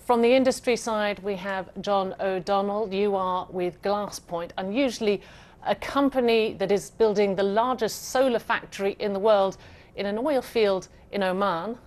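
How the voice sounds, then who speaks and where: A woman presenting a television business programme in a clear, measured, emphatic broadcast style. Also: medium pitch, a little mellow. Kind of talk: broadcast presenting, scripted narration and panel introductions.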